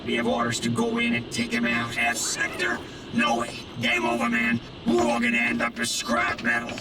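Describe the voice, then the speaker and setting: An actor playing a jumpy, gung-ho robot army trooper in a video game, barking like a soldier. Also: male voice, medium pitch, monotone, normal speed, loud music behind the voice, close to an everyday voice. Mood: panicked. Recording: distant, buried in very loud battle noise.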